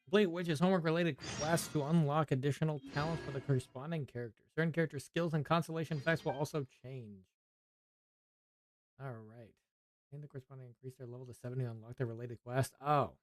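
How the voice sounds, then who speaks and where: A man streaming a game, giving offhand, low-key commentary and muttering through on-screen text as he reads. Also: steady tone, quick pace. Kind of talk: casual gameplay commentary. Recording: game music playing behind.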